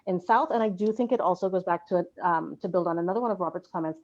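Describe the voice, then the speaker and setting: A woman's voice: fairly low pitch, quick pace, a little mellow. A woman speaking as a panelist in a live discussion, in an articulate, analytical way. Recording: dull lo-fi audio.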